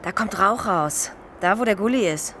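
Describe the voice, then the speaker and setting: A woman's voice: mid-range voice, animated, moderate pace, fairly bright tone, close to an everyday voice. An actress playing a brash, streetwise homeless woman, colloquial and urging, in a German radio crime drama. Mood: excited, coaxing. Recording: noticeable background noise.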